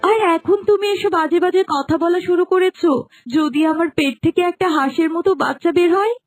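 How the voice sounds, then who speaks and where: A woman's voice: very high-pitched, fairly quick. A woman voicing a fretful, complaining young wife in a fairy-tale cartoon. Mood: worried, nagging. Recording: music under the voice.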